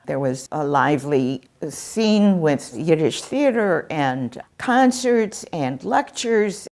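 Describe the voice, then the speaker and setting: A woman in her eighties from Poland, recalling her family's past in a measured, thoughtful way during a seated interview. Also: low voice, lively intonation, darkish tone. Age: 80s. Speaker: Holocaust survivor interviewee. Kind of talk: recounting childhood memories. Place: indoor interview set with photo wall.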